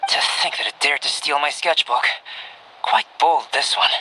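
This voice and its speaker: An actor playing a cool, reserved young alchemist in a video game, speaking in a measured, formal and precise manner. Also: male voice, fairly high pitch, sharp tone, slight background noise, rough recording. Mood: coolly displeased. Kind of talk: video game cutscene acting. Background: game music.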